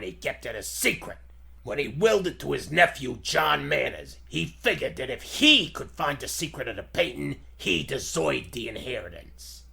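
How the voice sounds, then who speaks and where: A man playing a gruff, bullying, impatient gangster boss in 1940s radio style, with a gravelly voice and slangy, insulting tough talk. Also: mid-range voice, bright, forceful tone, full character voice.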